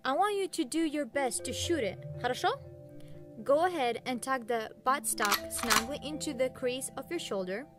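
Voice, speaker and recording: A woman playing a steady, patient weapons expert with a Russian accent in an audio drama. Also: sits high, moderate pace, over music, natural voice. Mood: patient, assured. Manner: instructive, calm, firm.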